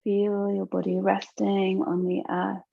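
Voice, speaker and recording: A woman teaching yoga nidra, guiding a relaxation meditation in a gentle, soothing, unhurried way with long pauses. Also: medium pitch, monotone, creaky, no room sound, narrow, tinny audio.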